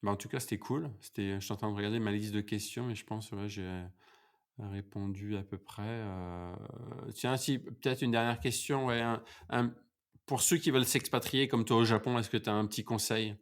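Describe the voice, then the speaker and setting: A male podcast host who interviews a guest in a relaxed, chatty way, with many fillers and repeated words. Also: lowish voice, moderate pace. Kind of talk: casual interview, then a scripted outro.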